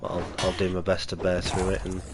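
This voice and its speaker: Guy gaming stream, bunged up with a cold, kinda low voice, chill and chatty. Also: completely flat delivery, brisk, noisy background. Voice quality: low-key, chatty and easygoing. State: stuffy with a cold, nasal. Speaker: livestream host. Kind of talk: casual gaming livestream chat.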